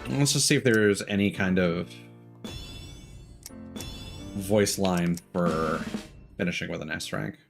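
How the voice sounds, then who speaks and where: A man's voice: deep voice, slow, creaky, long pauses. A man streaming a video game, giving casual commentary in a musing, thinking-aloud way. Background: game music.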